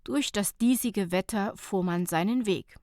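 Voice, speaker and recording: A woman's voice: mid-range voice, measured pace, a little mellow, no room sound, clean studio sound. A woman narrating a light, humorous short story as an audiobook reader, in a scripted reading style.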